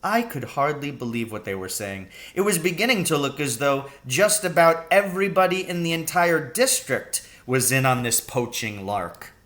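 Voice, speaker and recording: A man reading a children's story aloud as narrator, in an expressive storytelling style. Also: fairly high pitch, normal speed, few pauses. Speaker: audiobook reader.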